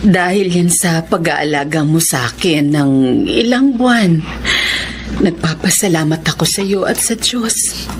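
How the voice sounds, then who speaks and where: A woman acting a low-voiced grateful mother. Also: measured pace, noisy background, lightly acted. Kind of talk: Filipino radio drama acting.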